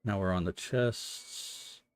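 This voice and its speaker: A man with a lowish voice talks through a game on a casual stream. He speaks slowly, working out his thoughts aloud with hesitant explanations. The sound is close and dry. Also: smooth, no room sound. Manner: thinking out loud, explanatory, hesitant. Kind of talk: casual gaming stream commentary.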